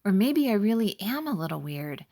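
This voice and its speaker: A woman voicing a sensitive, cheerful male butterfly in a children's storybook, earnest and expressive. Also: medium pitch, moderate pace. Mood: doubtful. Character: cheerful, sensitive young male butterfly who loves humming.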